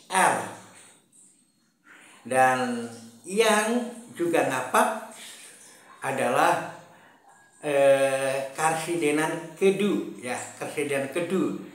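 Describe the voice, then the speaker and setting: A man from Central Java giving a casual political monologue, pausing often with fillers and repeating himself, with a chuckle now and then. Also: mid-range voice, fairly bright tone, very echoey. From Brebes, Central Java. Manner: slow, hesitant, many fillers and repetitions, chuckles often. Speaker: political commentator.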